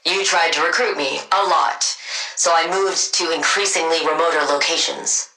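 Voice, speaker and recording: A woman playing a cold, sardonic scientist villain in a video game, speaking in a dry, clipped deadpan. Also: low voice, normal speed, echoey room, poor audio. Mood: dry, matter-of-fact. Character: cold, sardonic female genius scientist villain devoted to her wife.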